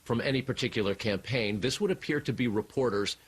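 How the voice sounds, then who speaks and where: A man with an American accent reporting live as a television news correspondent, deliberate and emphatic. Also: medium pitch, slightly rough.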